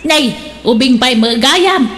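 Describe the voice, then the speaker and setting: A woman playing a high-voiced nagging wife. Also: animated, takes it slowly, a bit bright, a little hiss or hum, heavily acted. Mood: scolding. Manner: nagging, scolding. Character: scolding middle-aged wife, nagging her husband.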